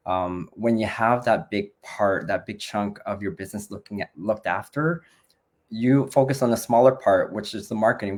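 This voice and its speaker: A man in his forties, born in the Philippines, speaking on a video call about his online business in a relaxed way, with many fillers and restarts. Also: fairly low pitch. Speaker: guest business partner. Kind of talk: casual online business chat.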